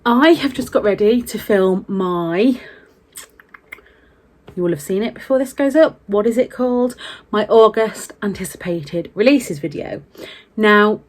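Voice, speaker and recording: A middle-aged British woman talks casually in a vlog. Her pitch sits in the middle for a woman, and she speaks in a candid, chatty, self-deprecating way. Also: unhurried, a little mellow. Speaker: book vlogger. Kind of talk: casual vlog chat to camera.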